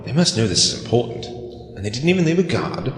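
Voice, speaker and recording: A man's voice: mid-range voice, very animated, fairly quick, slight background noise, lightly acted. A man playing a protective, wry adventurer with a dry joking manner in an audio drama. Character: Theet, protective, wry male adventurer with a gadget. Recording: echoey, long reverb.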